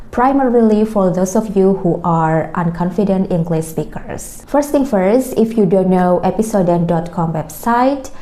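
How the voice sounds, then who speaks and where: Indonesian-native young woman teaching english tips, slow and careful, kinda dark mellow voice, roomy echo. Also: on the low side. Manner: slow, careful, explaining step by step. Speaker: language tutor. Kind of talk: talking-head lesson with tips. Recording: echoey room.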